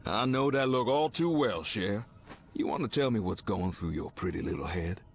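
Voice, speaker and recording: A man's voice: fairly low pitch, expressive, normal speed. A man voicing a charming, protective, romantic superhero in a video game, with a Cajun accent and a suave, tender manner. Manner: suave, tender, peppered with French endearments. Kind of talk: video game character voice acting.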